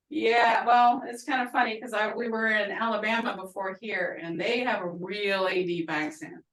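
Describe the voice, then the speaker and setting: A woman with an Oklahoma twang teaching a language class over a video call, chatty and quick to laugh. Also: mid-range voice, a bit bright. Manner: chatty, laughing often, storytelling asides. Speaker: language instructor. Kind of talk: casual online class teaching. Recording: roomy, distant video-call audio.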